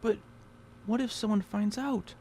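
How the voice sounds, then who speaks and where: A man's voice: high-pitched, steady tone, a little slow, mellow, a little hiss or hum. An actor playing a meek, hapless, flustered man in a radio drama, speaking hesitantly with stammers. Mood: shocked, flustered.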